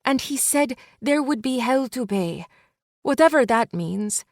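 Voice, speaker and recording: A woman's voice: fairly high pitch, lively intonation, measured pace, no echo, studio quality, pitched above the performer's own voice. A woman reading an audiobook, voicing a blunt young female friend who presses her point with urgent insistence. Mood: insistent.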